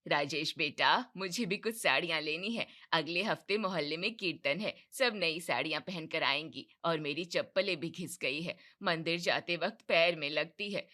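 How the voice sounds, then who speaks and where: A woman's voice: low-pitched, moderate pace, hardly any pauses, voice pushed down. A woman putting on a scolding, domineering mother-in-law. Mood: demanding. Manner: scolding, loud and indignant. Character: domineering middle-aged mother-in-law, demanding.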